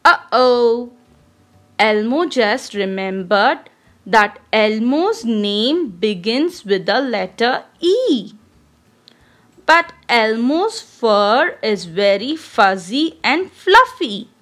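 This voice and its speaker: A woman reading a children's storybook aloud in an expressive, deliberate, child-directed way. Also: on the high side. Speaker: storybook reader.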